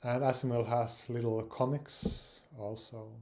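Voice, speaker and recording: Hesitant slow-talking man. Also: mid-range voice, level tone, a little mellow. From Finland. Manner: hesitant, many fillers and repeated words. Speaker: language learning channel host. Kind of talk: casual spoken advice.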